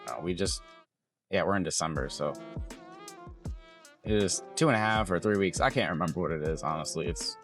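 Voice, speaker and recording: Hoarse, raspy low-voiced man. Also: fairly quick, long pauses, a bit bright, faint music behind. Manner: rambling, loses his train of thought. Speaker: vlogger. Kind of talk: casual vlog chat.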